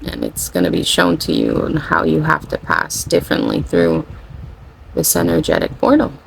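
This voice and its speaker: A tired, low-energy spiritual channeler, a native Spanish speaker, talking casually and rambling, often saying "you know" and correcting themself. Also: huge pitch swings, moderate pace, creaky, slight background noise, poor audio. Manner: rambling, self-correcting, with frequent "you know" and light laughter. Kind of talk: casual spiritual vlog monologue.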